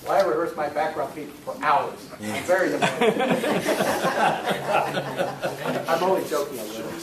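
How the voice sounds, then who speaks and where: A man's voice: a little high, big pitch swings, measured pace, a crowd in the background. Echoey, distant man emceeing a club meeting. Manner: joking, bantering. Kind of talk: informal meeting announcements. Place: meeting room with chattering group. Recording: echoey noisy meeting room, far mic.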